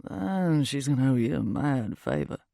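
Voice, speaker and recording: A woman's voice: extremely low pitch, wildly swooping pitch, normal speed, vocal fry, dark tone, no echo, voice pushed down, full character voice. A woman voicing a cool, cagey young British man in an audiobook, offhand and dry. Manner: offhand, dry, self-mocking. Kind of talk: audiobook character dialogue.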